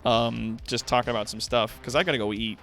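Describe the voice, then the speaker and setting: A man's voice: mid-range voice, steady tone, a little fast, a bit bright, faint music behind. A man hosting a livestream in a casual, rambling, chatty way, informal and given to swearing. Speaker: livestream host.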